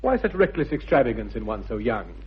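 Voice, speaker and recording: An actor playing an earnest young Victorian gentleman with an upper-class English accent, urbane but easily flustered, in a 1960s British radio comedy style. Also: mid-range voice, sing-song, a little fast, slightly rough. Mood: eager, dry. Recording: dull old radio recording.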